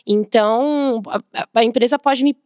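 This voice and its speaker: A woman's voice: fairly high pitch, moderate pace, a little mellow, clean tone, no echo, studio quality. A young Brazilian woman, a podcast guest, speaks emphatically and acts out the people she quotes. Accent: Brazilian Portuguese. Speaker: podcast guest, diversity and inclusion expert. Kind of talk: conversational interview answer.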